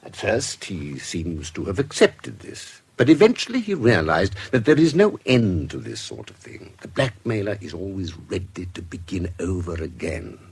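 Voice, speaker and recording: A man with a British accent playing a shrewd, composed investigator in a radio drama, recounting a case in formal, measured, precise language. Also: low-pitched, very animated, creaky, a little mellow, husky, full character voice. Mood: methodical, grave. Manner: formal, measured, deliberate storytelling.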